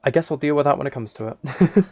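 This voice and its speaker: A man's voice: fairly high pitch, quick pace, a little mellow, husky, no echo. Man thinking aloud, very dry sound. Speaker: live streamer. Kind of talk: casual chat over Minecraft gameplay.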